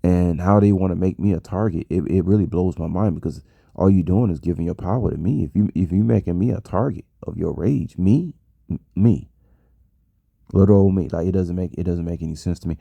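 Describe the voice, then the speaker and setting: An American man talks casually to his followers in a deep voice with a gravelly fry. He rambles and circles back on his phrases for emphasis. The sound is dry and close. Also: a little fast, dry recording, dull audio. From United States. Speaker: vlogger. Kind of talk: casual personal monologue to an audience.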